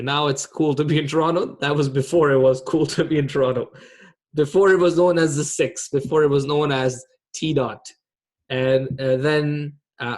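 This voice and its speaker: Friendly imam teaching teens on a video call. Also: male voice, medium pitch, darkish tone. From Pakistan. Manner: casual and friendly, many fillers. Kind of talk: online class for teens with a quiz.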